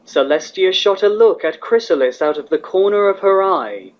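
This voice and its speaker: Casting: male story narrator, slightly high voice, lo-fi sound. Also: lively intonation, normal speed. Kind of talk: scripted story narration of fan fiction. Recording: slightly dull, lo-fi recording.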